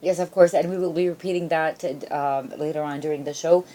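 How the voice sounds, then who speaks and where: A woman's voice: sits low, a little fast. A woman hosting a casual live radio interview, chatty and reactive, often echoing what she hears. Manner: chatty, animated reactions, echoes guest's answers. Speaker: radio show host. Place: radio studio.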